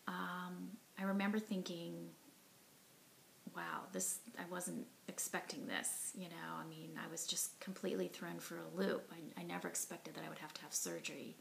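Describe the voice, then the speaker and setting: A woman in her forties telling her own story to camera from her living room, earnest and reflective, often soft and breathy, with many ums and you knows. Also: on the low side, flat delivery, normal speed, slight background noise, low-quality recording. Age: 40s. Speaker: heart attack survivor telling her story. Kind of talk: personal testimony to camera. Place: living room at home, indoors. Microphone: device camera at arm's length, sitting close.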